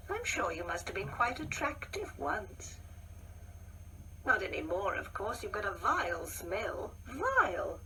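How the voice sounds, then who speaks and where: A woman playing a genteel older married woman in a radio play, with a theatrical, halting, wistful delivery. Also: mid-range voice, big pitch swings, bright, forceful tone. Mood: cutting, disdainful. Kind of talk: radio play monologue acting. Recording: distant mic with faint background noise.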